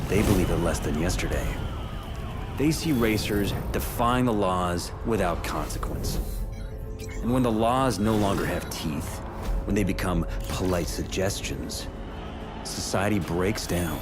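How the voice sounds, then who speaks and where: A man's voice: deep voice, lively intonation. A man playing a stern, authoritarian police officer in a video game, delivering grim, menacing monologues. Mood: grim resolve. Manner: forceful rhetoric, grim and deliberate. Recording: loud music behind.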